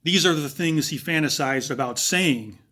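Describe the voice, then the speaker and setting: A man with a raspy voice giving analytical true crime commentary, talking casually with pauses and fillers. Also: on the high side, pushed and bright.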